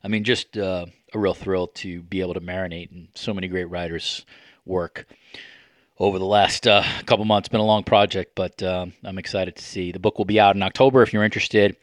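A man's voice: deep voice, steady tone, a little fast, dry recording, clean studio sound. A middle-aged man hosting a podcast in a conversational style, hesitant and trailing off at phrase ends. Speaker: podcast host.

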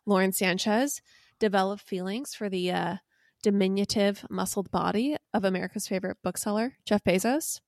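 A woman's voice: mid-range voice, normal speed, vocal fry, a little mellow, no room sound, studio quality. A woman co-hosting a podcast, telling a recap in a conversational, teasing and playfully dramatic way. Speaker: podcast co-host.

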